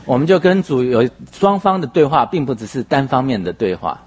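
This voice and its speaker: A man interpreting a sermon phrase by phrase, husky and somewhat halting, rephrasing as he goes. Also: medium pitch, lively intonation.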